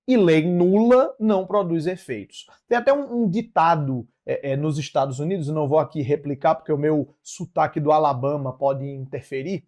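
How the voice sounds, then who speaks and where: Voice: medium pitch, normal speed, few pauses, darkish tone. A Brazilian law teacher giving an explanatory lecture, didactic, repeating and rephrasing key phrases with short check-in tags.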